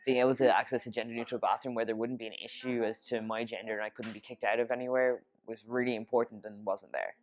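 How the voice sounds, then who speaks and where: A young Irish man speaks earnestly in a documentary interview. His pitch stays level and even, and his talk is broken by frequent ums and uhs. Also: fast talker, few pauses. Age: young adult. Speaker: student interviewee.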